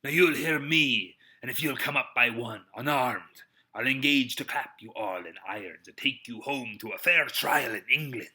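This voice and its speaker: Man doing a proud strict ship captain, clipped orders, really sharp forceful voice. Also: medium pitch, normal speed. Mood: defiant. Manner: clipped, authoritative orders and defiance. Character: stern, proud, commanding male sea captain.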